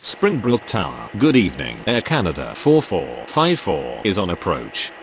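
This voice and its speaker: Give a deep-voiced man acting on airport radio as pilot or controller. His procedural phrases are formal and clipped, yet his pitch swings widely. A clear noisy hum sits around him. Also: wildly swooping pitch, moderate pace, exaggerated voice. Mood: businesslike. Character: male pilots and air traffic controllers on radio.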